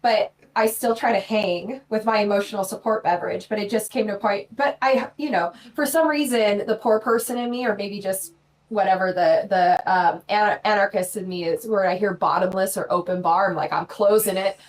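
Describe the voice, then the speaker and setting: A woman in her forties talking as a podcast guest in a casual live chat, blunt, brash and quick to mock herself. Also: medium pitch, fairly even intonation. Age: 40s. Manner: blunt, brash and self-mocking.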